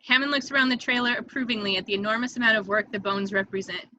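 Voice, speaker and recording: A woman's voice: a little high, steady tone, fast, sharp tone, dry recording, muffled recording. A woman reading stage directions as scripted narration for a table read.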